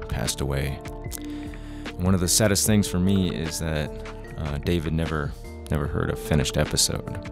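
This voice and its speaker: A man with a low voice narrates as the creator of an audio drama. The delivery is a scripted podcast outro with credits, read over clearly audible music. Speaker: show writer and creator.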